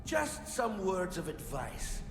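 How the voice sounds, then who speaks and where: An actor playing a proud, aggrieved heir in a video game, solemn, measured and formal. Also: male voice, high-pitched, huge pitch swings, vocal fry, over music, full character voice. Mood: cool, measured. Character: proud, aggrieved male heir to a mythical city's rule. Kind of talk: video game cutscene acting.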